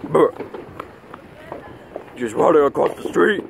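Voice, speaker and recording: A man improvising a plush toy skit outdoors, talking in a rambling, repetitive, halting way into a handheld phone. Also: on the high side, lively intonation, vocal fry. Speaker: puppeteer of plush toys. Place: outdoors on grass at night. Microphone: handheld phone camera close by. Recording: noisy outdoor phone video recording.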